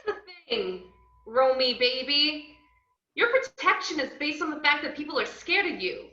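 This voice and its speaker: Brash young villainess, wildly swooping delivery, script read on a dull, roomy call. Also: female voice, high-pitched, very animated, moderate pace, bright, forceful tone, full character voice. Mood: cocky, defiant. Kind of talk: video-call table read of a film script. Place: indoors, on a video call. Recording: muffled, echoey video-call audio.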